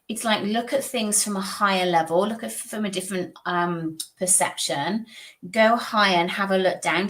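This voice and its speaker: A woman's voice: on the low side, a bit bright. A woman hosting a live-stream tarot reading, chatty and reassuring, often repeating herself. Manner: chatty, repeats phrases, reassuring spiritual talk. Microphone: streaming setup.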